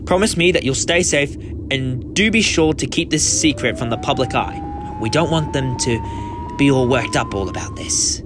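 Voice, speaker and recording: Guy playing eccentric time traveller hero, kind n polite, swoopy expressive voice, music under it, fan audio play. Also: fairly high pitch, normal speed. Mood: kindly, brisk. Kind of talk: amateur fan audio drama acting.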